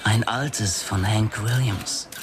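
An actor playing a talkative, worried manager of a country music club in a German radio crime drama. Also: male voice, on the low side, takes it slowly, background noise. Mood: urgent, confiding. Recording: loud music behind the voice.

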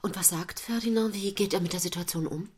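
Actress as brisk, husky, prim hostess. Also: female voice, mid-range voice, a little mellow, close to an everyday voice. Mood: politely pointed. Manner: polite but pointed. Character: prim, earnest mother and hostess with pointed civility. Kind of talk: naturalistic stage-play dialogue acting.

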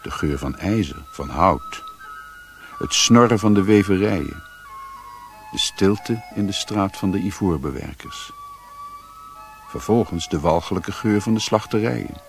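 An actor as a grave storyteller narrating a radio play in a deliberate, literary manner. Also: male voice, sits low, vocal fry, heavily acted. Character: grave storyteller recounting a mysterious journey.

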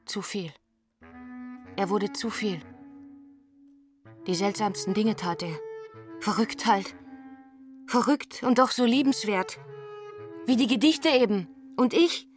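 Actress playing wistful woman, mid-pitched, theatrical, intense, broken confiding phrases, radio drama monologue, faint music behind. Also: slow pace, pauses often, lightly acted. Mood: wistful, bitter. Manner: theatrical, short broken phrases, lingering on words.

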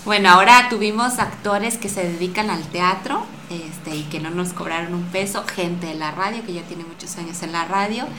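A woman with a Mexican accent speaking in a chatty, informal way as a guest in an interview. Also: on the low side. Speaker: radio drama maker and guest. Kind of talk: casual interview talk. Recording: echoey room with clear background noise.

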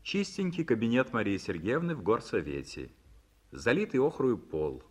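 A man's voice: medium pitch, big pitch swings, moderate pace, husky. A man reading scripted stage directions as the narrator of a 1950s Soviet radio play.

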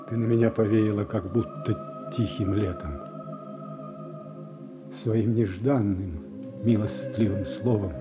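An actor playing a proud, stern old Russian prince in a verse tragedy for audio, declaiming solemnly and with measure. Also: male voice, lowish voice. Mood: moved, humbled.

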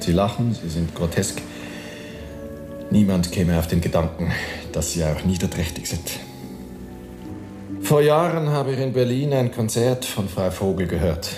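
An actor playing a grave, knowing hotel guest in a German radio drama, speaking in a measured, dry way. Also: male voice, sits low, reverberant, music in the background. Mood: scornful, musing.

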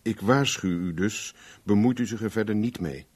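A very low male voice as a cynical private investigator in a vintage radio drama. He is wry and flirtatious, a hard drinker who sidesteps questions and turns firm when pressed. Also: normal speed, darkish tone, breathy edge. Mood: firm, warning. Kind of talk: Dutch radio play acting, early 1970s.

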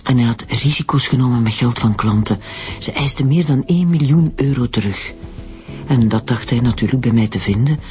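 A woman's voice: a bass voice, fairly quick, darkish tone, faint music behind. An actress playing a troubled, defensive Flemish mother in a radio drama, hesitant and repeating herself. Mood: uneasy confession. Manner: hesitant, stammering slightly, repeats words. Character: wealthy troubled mother, torn, uneasy, defensive.

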